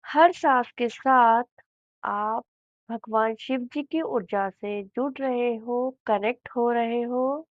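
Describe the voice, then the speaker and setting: A woman with an Indian accent narrating a guided meditation in a soothing, unhurried manner, leaving long pauses between phrases. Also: on the high side, no echo. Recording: thin, phone-like narrow band.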